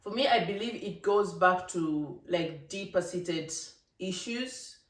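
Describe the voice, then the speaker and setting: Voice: medium pitch, slow. Vlogger, roomy distant sound. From East Africa. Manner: emphatic, animated, with laughing asides. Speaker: vlogger, channel host. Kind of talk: casual opinion monologue to camera.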